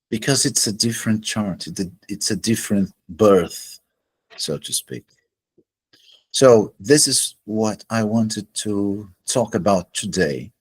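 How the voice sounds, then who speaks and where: Man, husky low voice, very slow deliberate lecture, low-quality home recording. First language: Portuguese. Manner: measured, deliberate, pauses between phrases. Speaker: astrology teacher. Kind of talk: solo explanatory lecture.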